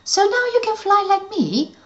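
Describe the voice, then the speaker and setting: A woman's voice: very high-pitched, big pitch swings, normal speed, a bit bright, highs cut off, voice pushed up, full character voice. A woman voicing a small, bossy and impatient female fairy. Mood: eager.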